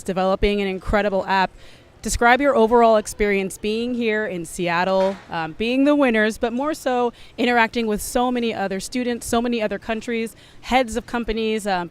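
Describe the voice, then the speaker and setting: A woman's voice: medium pitch, smooth. A woman working as a TV interviewer, putting questions to guests at an event.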